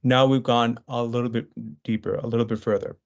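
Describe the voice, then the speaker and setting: A man leads a business webinar in a mid-range voice, talking his audience through slides in a loose, conversational way. He often restarts phrases and fills pauses as he goes. The audio is slightly dull and lo-fi, as if picked up by a computer mic. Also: mid-range voice, fairly even intonation. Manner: conversational, with frequent fillers and restarts. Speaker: webinar presenter.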